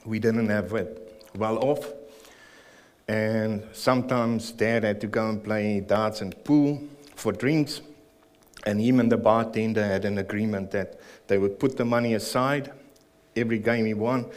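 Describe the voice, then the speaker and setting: Nervous South African man, low voice. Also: steady tone. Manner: nervous, earnest, with halting fillers. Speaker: church member giving testimony. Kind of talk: personal testimony and prayer in church. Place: church service.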